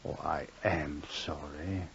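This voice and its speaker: A man's voice: extremely low pitch, steady tone, slow pace, creaky, a bit bright, slightly rough, exaggerated voice. A man playing an awkwardly polite, furtive doctor, hesitant and ingratiating, in old-time radio drama. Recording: muffled old radio recording.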